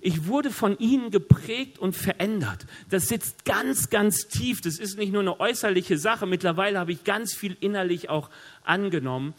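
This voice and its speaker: A middle-aged man with a cold, preaching a sermon in a lively, conversational way with joking asides. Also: high-pitched, normal speed. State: has a cold. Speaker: pastor. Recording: long hall reverb.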